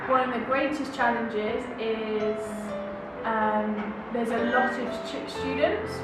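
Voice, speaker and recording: A woman with a fairly high voice explains her classroom methods plainly and directly. She sits far from the mic in a very echoey room. Loud music runs underneath. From England. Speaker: English teacher. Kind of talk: casual interview answers.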